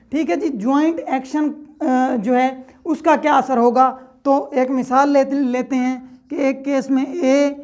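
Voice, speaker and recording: A woman lectures on law, walking through each point in a steady, methodical way. Her voice sits high, and she often pauses to check that her listeners are following. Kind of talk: lecture explaining law articles.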